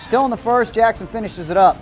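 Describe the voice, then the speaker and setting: An American man anchoring high school football highlights in an excited, punchy broadcast delivery. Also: brisk, rough recording. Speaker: sports anchor. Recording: TV sports broadcast with music behind. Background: music bed.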